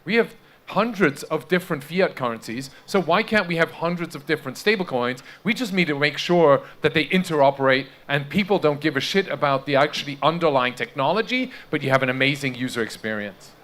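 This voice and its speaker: A man's voice: a little high, animated, a little fast, fairly bright tone. A man speaking emphatically on a conference panel, posing rhetorical questions.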